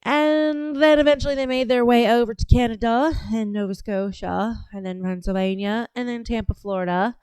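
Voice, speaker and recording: Rambling woman livestream host, smooth voice. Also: on the high side, steady tone, moderate pace, few pauses, darkish tone, no echo. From Georgia, US South. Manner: rambling, chatty, trails off and restarts. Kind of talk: casual livestream chat.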